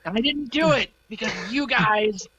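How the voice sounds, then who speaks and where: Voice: mid-range voice, moderate pace, bright, forceful tone, highs cut off. A player on a tabletop podcast chatting, stammering and laughing while talking. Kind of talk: casual chat.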